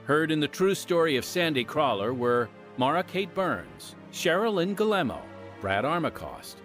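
Guy radio announcer, polished scripted read, lively pitch, music behind. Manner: polished, measured delivery.

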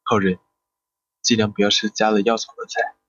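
A man playing a weary, sickly, protective older brother in an audio drama, halting and subdued. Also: on the low side, level tone, fairly bright tone, slightly rough. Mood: urgent, fearful. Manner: halting, subdued, urgent warnings. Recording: dull muffled recording with music behind.

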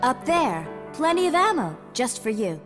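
In a reverberant hall with music behind, a no-nonsense young woman speaks. She is cool and dry. Her measured lines move slowly, with lively shifts of pitch. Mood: dry, teasing. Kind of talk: video game cutscene acting.

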